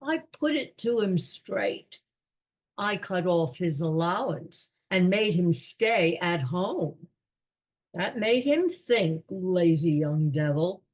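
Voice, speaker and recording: A woman's voice: on the low side, animated, slow, a little mellow. A woman playing a doddering, absent-minded elderly lord in a radio comedy, with a pompous, rambling manner. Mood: grumbling. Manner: pompous, rambling aristocratic musing. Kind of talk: radio play comedy acting.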